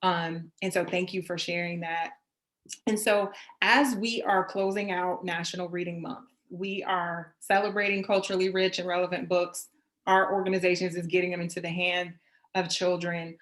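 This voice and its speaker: Lady interviewer, voice a bit on the low side, chatty event host. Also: flat delivery, moderate pace, fairly bright tone. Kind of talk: conversational hosted interview.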